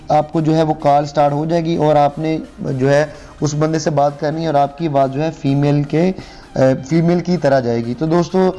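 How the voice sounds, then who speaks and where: A man's voice: fairly high pitch, mellow. A man hosting a spoken how-to tutorial, explaining steps repetitively and addressing viewers directly as friends. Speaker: tech tutorial host.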